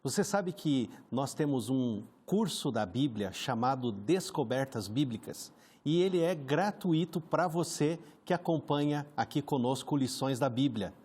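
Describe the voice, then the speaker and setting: A man's voice: mid-range voice, lively intonation, measured pace, a little mellow, slightly rough. A man with a Brazilian Portuguese accent hosting a conversational Bible study program, friendly and often chiming in with short agreements. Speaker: program host.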